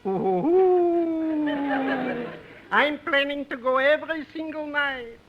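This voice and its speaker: A man's voice: very high voice, lively intonation, slow, full character voice. An actor playing a cheerful, naively literal middle-aged immigrant man with odd word order in a 1950s radio comedy. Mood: cheerfully naive. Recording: dull, noisy 1950s radio recording.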